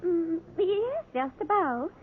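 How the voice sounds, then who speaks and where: An actress playing a hesitant, deferential shopkeeper witness in a 1950s radio drama. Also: female voice, very high voice, very animated, slow, exaggerated voice. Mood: timid. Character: timid female burglary witness, a shopkeeper. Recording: tinny 1950s radio recording.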